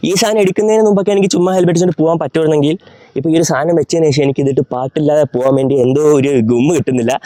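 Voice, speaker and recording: A man's voice: a little high, dark tone, dry recording. A young man with a Malayali accent, chatting casually through a gear review and mixing in English words. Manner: rapid, chatty, mixes English words into his speech.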